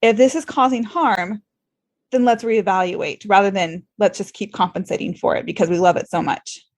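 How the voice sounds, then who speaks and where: A woman's voice: medium pitch, moderate pace. A woman hosting a casual podcast interview, speaking in a reflective and encouraging way.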